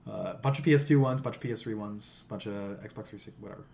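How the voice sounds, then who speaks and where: A man's voice: fairly low pitch, fast talker, creaky, darkish tone. A man co-hosting a podcast in casual, irreverent, profanity-sprinkled chat about games. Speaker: podcast co-host.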